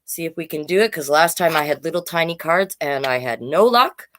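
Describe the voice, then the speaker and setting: A woman with a voice pitched a little low hosts a storytime livestream for children. She chats casually with viewers and reads facts aloud. Her delivery wanders, with asides and self-corrections along the way. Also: a little fast. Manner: chatty, easily sidetracked, self-correcting.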